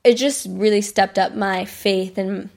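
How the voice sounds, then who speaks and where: Young American woman, podcast host, chatty and bubbly, some vocal fry. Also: medium pitch, fairly even intonation, unhurried, darkish tone. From United States. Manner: bubbly, chatty, laughs often. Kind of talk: casual personal storytelling.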